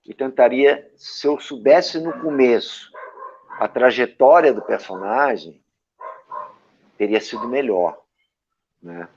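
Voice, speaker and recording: A Brazilian man in an interview, recalling his career in theater with a lively, storytelling manner. He keeps checking in with little tag questions. His voice sits in a middle register, and the audio is somewhat dull and lo-fi. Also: medium pitch, slow, long pauses, slight background noise. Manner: lively anecdotal, frequent "né?" tags. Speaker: actor and director, guest.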